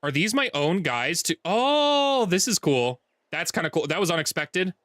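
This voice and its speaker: A man with a deep voice streaming a strategy game from home, chatting casually, thinking aloud and correcting himself as he plays. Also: sing-song, moderate pace, fairly bright tone, dry recording, clean studio sound. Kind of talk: casual gameplay livestream chat. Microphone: desk mic with noise gate.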